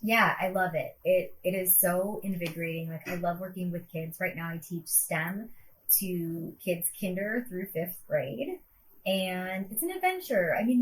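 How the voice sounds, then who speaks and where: A woman's voice: lowish voice, low-quality recording. Chatty woman co-host, casual and upbeat, faint hiss in the background. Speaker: podcast co-host, teacher. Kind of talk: casual podcast chat.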